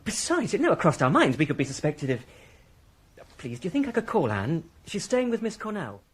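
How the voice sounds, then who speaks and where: A man's voice: on the high side, wildly swooping pitch, fairly quick, slight background noise. An actor playing an agitated, well-bred British man under suspicion in a radio drama, hesitant and indignant. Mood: sheepish. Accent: British English.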